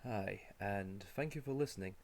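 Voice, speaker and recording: A man's voice: fairly low pitch, moderate pace, darkish tone, slight background noise. A man, a voice actor, speaking in his own voice as he addresses listeners in a short outro. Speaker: voice actor and audio creator.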